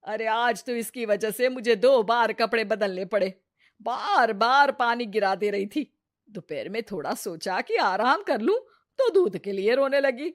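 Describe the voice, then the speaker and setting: A woman's voice: on the high side, lively intonation, measured pace, no echo, studio quality. Woman voicing a grumbling mother-in-law. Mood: complaining. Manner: grumbling, self-pitying, scolding. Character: scolding middle-aged Indian mother-in-law, complaining. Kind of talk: cartoon voice acting.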